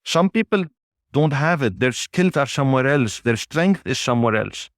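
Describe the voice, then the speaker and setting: A man of Lebanese origin, a native Arabic speaker, answering interview questions in a measured way with frequent pauses. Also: mid-range voice, expressive, no room sound, studio quality. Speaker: guest, business executive.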